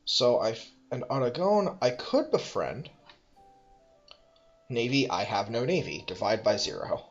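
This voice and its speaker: A man's voice: medium pitch, very animated, unhurried, muffled recording, soft background music. A man thinking aloud as he plays a strategy game, musing, correcting himself and making dry asides. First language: English. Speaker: let's play host.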